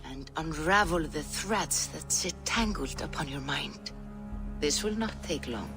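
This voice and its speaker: A woman playing a calm, solemn mystical Norse seeress in a video game, speaking in a measured, reassuring way. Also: deep voice. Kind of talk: video game cutscene acting. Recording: music behind the voice.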